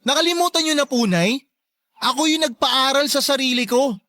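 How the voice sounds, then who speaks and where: A man's voice: extremely high pitch, animated, normal speed, sharp tone, dry recording, full character voice. A young man playing a resentful, neglected grown son in a radio drama, plaintive and reproachful but respectful. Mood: hurt, reproachful. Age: young adult. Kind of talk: emotional radio drama acting.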